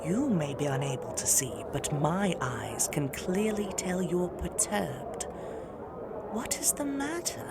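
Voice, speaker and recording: A regal mother queen in a mythic audio drama. Her voice is on the low side and moves expressively, formal and shrewd, coaxing as she speaks. Music runs behind her. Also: very animated, takes it slowly, heavily acted. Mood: tender, coaxing.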